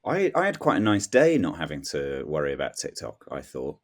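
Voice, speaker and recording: A man speaking as a guest on a comedy podcast, in casual, deadpan banter. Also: very deep voice, a little fast.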